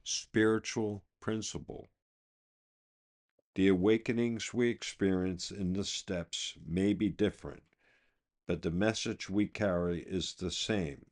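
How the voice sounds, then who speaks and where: A man reading a scripted daily reflection for a podcast in a measured, deliberate way. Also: deep voice. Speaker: podcast reader.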